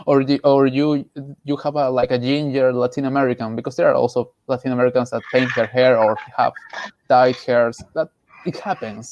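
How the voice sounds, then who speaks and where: A man with a Peruvian Spanish accent talks casually on a livestream panel at a middle pitch. He is emphatic and halting, often restarting and repeating phrases, with a dry, close sound free of room echo. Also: a little mellow. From Peru. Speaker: panelist, artist.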